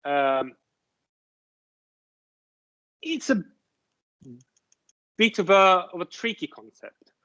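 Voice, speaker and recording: A man giving a university lecture, explaining ideas haltingly with many restarts and fillers, often checking with his listeners. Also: sits high, big pitch swings, sharp tone, highs cut off.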